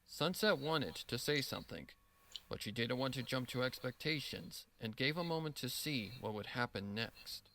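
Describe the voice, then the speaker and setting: A man's voice: medium pitch, animated, normal speed, bright, forceful tone. A man reading a scripted story as narrator, with a solemn, dramatic delivery. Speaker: narrator reading fan fiction.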